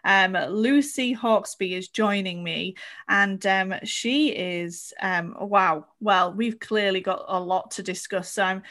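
A woman's voice: medium pitch, slow pace, a bit bright. A woman hosting a podcast, reading a prepared introduction and then chatting with a guest in an earnest way, with some fillers. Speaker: podcast host. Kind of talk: scripted intro then casual interview.

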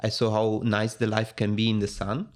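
A young man with an Italian accent talks through his story in a relaxed interview. His voice sits low, and he thinks aloud with plenty of ums and self-corrections. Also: moderate pace. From Italy. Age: 20s. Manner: thoughtful, with frequent fillers and restarts. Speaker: guest. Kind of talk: casual interview chat.

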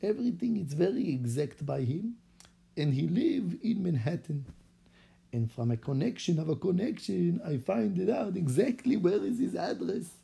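A man's voice: high-pitched, very animated, moderate pace, creaky, dark tone, breathy edge, heavily acted. A man putting on an eager Israeli rabbi. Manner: eager, chatty storytelling in broken English. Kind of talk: acted-out story for children.

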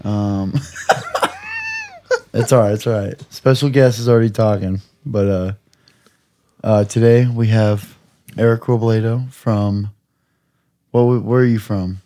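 A young man hosting a podcast, hoarse from screaming, talking in a rambling, profane, jokey way. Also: lowish voice, wildly swooping pitch, measured pace, pauses often, mellow. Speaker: podcast host. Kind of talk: casual chat.

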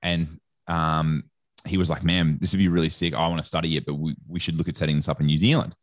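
A man's voice: very deep voice, level tone, fast, dry recording, studio quality. A man with an Australian accent telling a story casually as an interview guest, in slangy, informal speech full of reported dialogue. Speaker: interview guest, sports nutrition educator.

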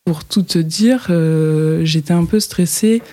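Hesitant woman with a mellow voice. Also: low-pitched, slow. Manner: hesitant, full of fillers and restarts. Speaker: fashion model. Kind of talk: casual interview chat.

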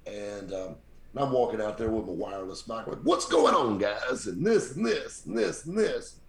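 A man, telling stories as a guest in a casual chat. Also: normal speed. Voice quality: very deep voice. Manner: animated, mimics voices in his stories. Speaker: podcast guest, radio show host. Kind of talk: casual storytelling. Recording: echoey room.

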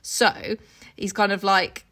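Female podcast co-host, mid-pitched, reads aloud and comments irreverently, sweary and mocking. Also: flat delivery, slow, pushed and bright. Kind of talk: casual book podcast, reading aloud with joking commentary.

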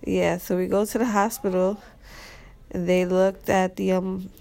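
Stuffy-nosed woman with a gravelly voice. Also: medium pitch, level tone, a little slow, mellow. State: stuffy nose from a cold. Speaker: guest. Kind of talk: casual personal storytelling.

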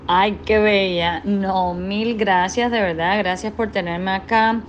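A woman's voice: medium pitch, steady tone, slow, dull audio, a little hiss or hum. A Cuban woman in her thirties with a Cuban accent, a podcast guest speaking earnestly and dropping in English "so" now and then. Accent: Cuban Spanish. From Cuba. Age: 30s. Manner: earnest, drops English fillers like "so". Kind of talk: podcast interview. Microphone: remote call.

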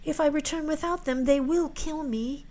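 A meek, unhappy young girl from a fairy tale, voiced by a woman narrator. Her voice is lifted high, and she pleads in earnest, courteous, old-fashioned phrases. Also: moderate pace, warm tone, natural voice. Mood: sad pleading. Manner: earnest, polite, archaic pleading. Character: meek, pleading orphan girl sent into winter mountains.

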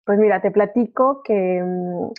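A woman's voice: medium pitch, measured pace. A young woman with a Mexican accent chatting informally as a podcast guest, often correcting herself as she tells her story. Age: young adult. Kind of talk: casual chat, personal story. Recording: narrow phone-like remote call audio.

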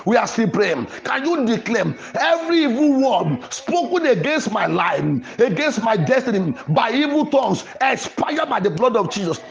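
A man's voice: very high-pitched, gravelly. A man preaching and leading fervent prayer in an insistent, repetitive, declamatory manner. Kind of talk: fervent deliverance sermon and prayer.

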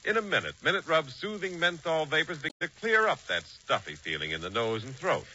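A man's voice: fairly high pitch, big pitch swings, fairly quick, sharp tone, breathy edge. A man announcing in a polished, emphatic 1940s radio style. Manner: polished, emphatic sales delivery. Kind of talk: 1940s radio advertising and scripted narration. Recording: dull, faintly noisy 1940s radio recording.

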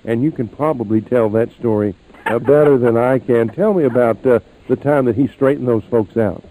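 A man's voice: medium pitch, moderate pace, warm tone, low-quality recording. A man hosting an interview in a casual, chatty and rather wordy conversational way. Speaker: interview host.

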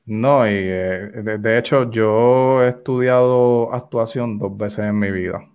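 A man's voice: fairly low pitch, fairly even intonation, takes it slowly, clean tone, highs cut off. A man with a Puerto Rican accent, an actor guest chatting casually with many fillers. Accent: Puerto Rican Spanish. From Puerto Rico. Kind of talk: casual chat.

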